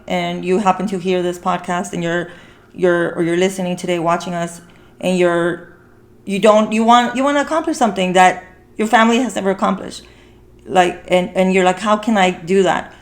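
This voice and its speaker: A woman speaks as a podcast host in an informal conversation. Her voice sits at a medium pitch. She comes across as earnest and encouraging, often repeating a phrase to press her point. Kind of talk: casual podcast conversation about a film.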